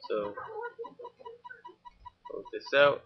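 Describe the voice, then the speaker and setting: A young man from Georgia with a Southern accent, talking casually through a software tutorial at his home computer, full of fillers. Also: drawn-out words, muffled recording, soft background music. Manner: informal, many fillers, talks while clicking. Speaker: tutorial host.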